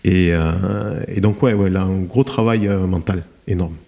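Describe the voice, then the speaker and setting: Middle-aged man, deep and warm, halting and reflective interview guest. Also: fairly even intonation, vocal fry. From France. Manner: hesitant, many fillers and restarts. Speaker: guest, cement plant director. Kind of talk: podcast interview, reflective storytelling.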